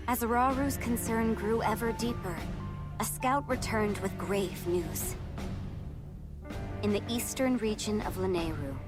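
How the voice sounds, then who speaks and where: A woman plays a grave storyteller recounting a fantasy war in a video game. Her voice is mid-pitched and measured, with formal scripted delivery. Music swells clearly behind her. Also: natural voice. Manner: solemn, measured. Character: solemn female storyteller of a fantasy war chronicle. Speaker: narrator. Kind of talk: scripted epic game narration.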